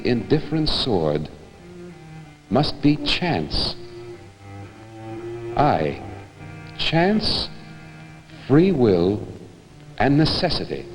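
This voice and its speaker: A man giving a theatrical, declamatory reading of literary prose in a deliberate manner. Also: medium pitch. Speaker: reader. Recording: long hall reverb, music behind.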